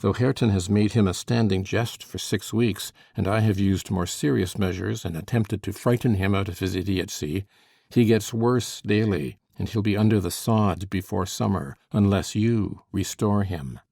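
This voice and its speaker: Gravelly villain, a man voicing it, low and menacing, dry studio sound. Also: moderate pace, mellow, no room sound. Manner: menacing, mocking, then falsely coaxing. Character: brooding, cruel middle-aged man, a scheming villain. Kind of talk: audiobook character reading.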